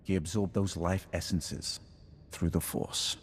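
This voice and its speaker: An actor playing a grave, proud Sith lord in a video game, making measured, ominous declarations. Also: male voice, low-pitched, fairly even intonation, a little hiss or hum. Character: grave Sith lord defector, proud, coldly calculating seer. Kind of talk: dramatic video game acting. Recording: loud music behind the voice.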